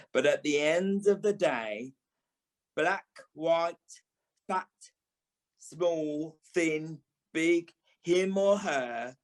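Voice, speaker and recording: An actor playing a camp, sharp-tongued British dance teacher and former stage dancer in an audio play, theatrical and sarcastic. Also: male voice, a little high. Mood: encouraging, wry. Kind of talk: audio play monologue and dialogue.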